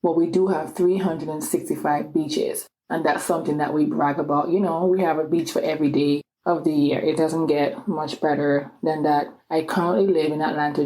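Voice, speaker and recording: Woman, twenties, antiguan accent, podcast host, low voice, upbeat and chatty. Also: a little mellow, poor audio. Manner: upbeat and chatty, many "you know" asides. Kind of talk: solo podcast monologue.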